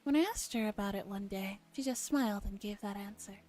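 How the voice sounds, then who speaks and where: A woman streamer gently reading a visual novel aloud in a soft ASMR style, with relaxed casual asides. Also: medium pitch, brisk. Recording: music behind the voice.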